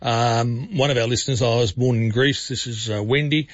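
A man's voice: mid-range voice, fairly even intonation, normal speed, no echo, muffled recording. A man hosting a talk radio discussion, conversational with frequent restarts. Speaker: radio host.

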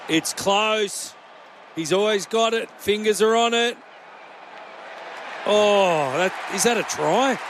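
A man's voice: high voice, slow pace, pauses often, fairly bright tone. A man, giving chatty, exclamatory expert comments on a rugby league match. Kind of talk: live sports commentary. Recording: crowd and noise behind.

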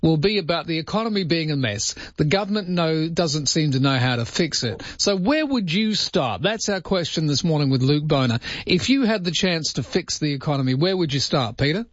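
A man hosting talkback radio, chatty and self-mocking, quick to laugh at himself. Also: a little high, expressive, no echo, lo-fi sound. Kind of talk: casual talkback radio chat.

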